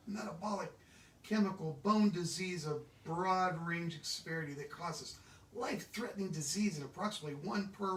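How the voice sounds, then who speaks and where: Male book reader, slightly high voice, reading aloud, roomy and distant recording. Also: a bit bright, slight background noise. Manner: stumbles over long words. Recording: echoey room, distant microphone.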